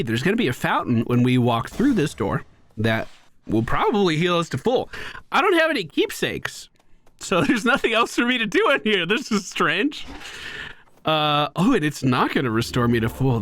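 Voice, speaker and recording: A man's voice: on the high side, sing-song, moderate pace, fairly bright tone, a little hiss or hum. A man streaming a video game with casual, chatty commentary, explaining things with playful slang.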